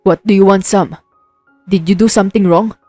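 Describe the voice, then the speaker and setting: A woman's voice: mid-range voice, fairly even intonation, moderate pace, a little mellow, dry recording, faint music behind, natural voice. A woman playing a brooding, suspicious husband, stern and wounded, in a romance audio drama. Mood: wary, questioning.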